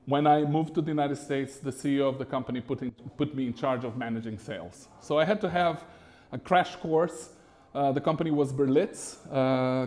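A middle-aged man from Brazil giving a masterclass presentation to a live audience in a small room, animated and conversational with frequent fillers. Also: mid-range voice. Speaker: business consultant lecturer. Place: small lecture room with a screen.

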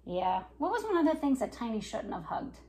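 A woman's voice: on the high side, big pitch swings, brisk, warm tone, a little hiss or hum. A woman, talking to young pupils at a children's story time. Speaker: school staff member reading a story to children. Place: living room at home. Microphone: camera or phone a short distance away.

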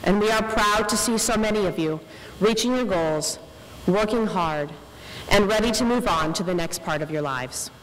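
A young woman giving an earnest, measured inspirational speech as a faculty speaker at a podium microphone. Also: medium pitch. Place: large indoor ceremony hall, on stage. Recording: reverberant hall with clear background noise.

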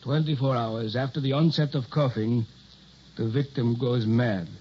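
A man acting a worried, secretive older scientist in a 1960s radio drama, speaking in a measured, formal, solemn way. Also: medium pitch, fairly even intonation, natural voice. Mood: grave, confessing. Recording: muffled, noisy old radio recording.

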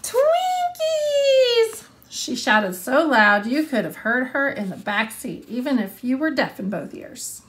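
A woman's voice: fairly high pitch, expressive, normal speed, hardly any pauses, a bit bright, a little hiss or hum. A woman in her thirties, an elementary school teacher, reading aloud and explaining with questions to students, stressing key words. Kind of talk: read-along lesson with questions to students.

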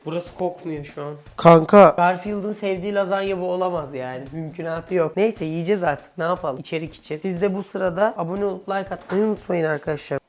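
A woman's voice: fairly low pitch, brisk, darkish tone. Informal, complaining woman vlogger. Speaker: vlog host. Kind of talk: casual challenge vlog chat.

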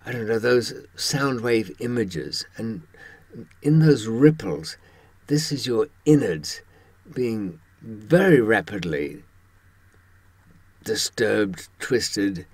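An elderly man in his seventies giving a wry, halting conversational monologue to camera in a husky voice. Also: lowish voice, no room sound. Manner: wry, halting, with pauses for thought. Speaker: presenter talking from personal experience.

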